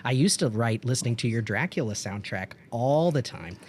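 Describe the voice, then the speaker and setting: A male podcast host with a medium-pitched voice talks in a loose, conversational way. He sounds enthusiastic and readily gushes as he goes. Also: expressive, normal speed, a little hiss or hum. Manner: enthusiastic, gushing about past projects.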